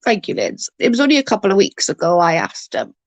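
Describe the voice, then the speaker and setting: Creaky-voiced woman who stutters. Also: mid-range voice, wildly swooping pitch, dry recording. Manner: rambling, halting, often restarts and repeats words. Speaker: livestream host reading chat. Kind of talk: casual livestream chat.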